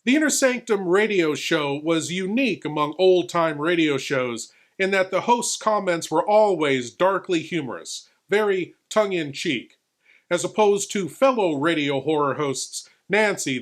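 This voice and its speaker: A man in a horror host persona delivering scripted, measured commentary with a theatrical touch. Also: fairly high pitch.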